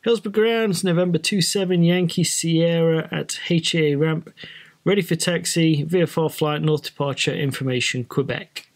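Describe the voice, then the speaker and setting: A man's voice: on the high side, normal speed. A British man giving an informal, explanatory walkthrough as a flight training presenter in a casual tutorial style. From Britain.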